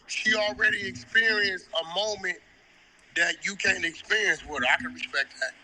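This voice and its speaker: A man's voice: sits high, normal speed, sharp tone, poor audio. A man guest in a casual chat, giving blunt streetwise advice. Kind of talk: casual chat over a call.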